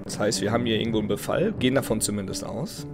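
A man's voice: lowish voice, racing. A man in his thirties with a cold and a slightly nasal voice, chatting casually as he plays a game and reads its letters aloud from his home gaming room. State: has a cold. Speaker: let's play host. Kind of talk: casual gameplay commentary. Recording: voice over clear game music. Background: game music.

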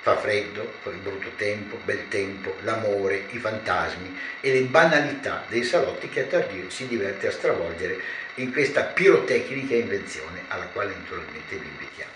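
An older man of around seventy, a theatre director explaining his work in a measured, erudite way with pauses. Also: on the low side, animated. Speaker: theatre director being interviewed. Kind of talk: reflective explanatory talk to camera. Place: small living room with shelves, indoors. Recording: roomy echo, clear background noise.

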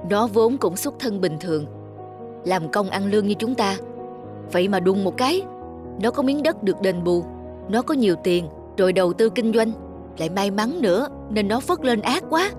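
Người chồng trẻ hay cằn nhằn. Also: male voice, high voice, lively intonation, moderate pace, music in the background. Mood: discouraged, envious. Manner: grumbling, complaining. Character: discontented young husband, envious and easily irritated. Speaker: voice actor. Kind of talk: cartoon dialogue dub.

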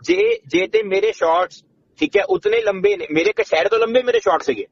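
Male phone debater, high-pitched and quick, insistent and interrupting, on a slightly noisy line. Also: wildly swooping pitch, vocal fry. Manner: insistent, interrupting, repeats phrases. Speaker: business owner debating by phone. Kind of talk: argumentative phone conversation. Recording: muffled noisy phone call recording.

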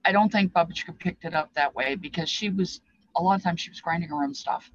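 A woman's voice: lowish voice, fast, slightly rough, no room sound, highs cut off. An older woman talking informally and reflectively, explaining things in a rambling way with bits of reminiscence. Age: older, past fifties. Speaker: presenter explaining feast dishes. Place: small cluttered craft room, indoors. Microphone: webcam at desk distance.

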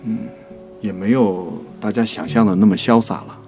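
A man's voice: lowish voice, steady tone, normal speed, darkish tone, soft background music. A man co-hosting a literary talk, chatting casually, agreeing and prompting his partner. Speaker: podcast producer and co-host.

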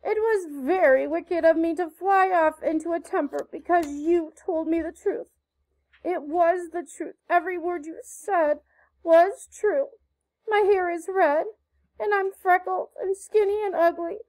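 A woman's voice: very high-pitched, steady tone, a little slow, darkish tone, voice pushed up, rough recording. A woman voicing a dramatic, imaginative orphan girl who pleads effusively in a storybook reading. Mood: theatrical pleading.

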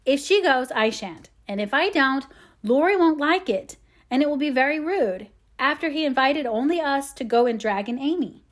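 A woman's voice: sits high, very animated, normal speed, hardly any pauses. A woman voicing a hot-tempered, impatient older sister who scolds brusquely in a storybook reading. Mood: cross, scolding.

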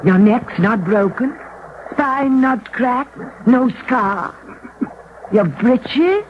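A woman's voice: a little high, lively intonation, very slow. An actress playing an exasperated old peasant mother, scolding her son theatrically in a 1940s radio drama. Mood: anxious, exasperated. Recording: muffled 1940s radio broadcast, faint noise.

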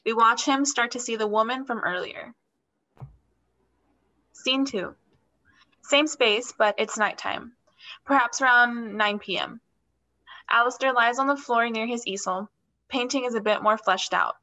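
A young woman narrates stage directions in a bright, slightly high voice. It is scripted reading for a play, done over a video call from a plain room. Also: measured pace, long pauses, poor audio.

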